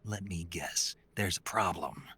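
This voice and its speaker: A man playing a gruff, weary soldier on a covert mission in a video game, terse and dry with a gravelly rasp. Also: deep voice, a little slow, pushed and bright, exaggerated voice. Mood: exasperated, dry. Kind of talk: video game cutscene acting.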